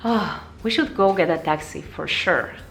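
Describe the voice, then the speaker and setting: A woman voicing a cheerful young female traveler who talks in bright, exclaiming bursts. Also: fairly low pitch, normal speed, vocal fry. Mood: excited. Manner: bubbly, exclaiming.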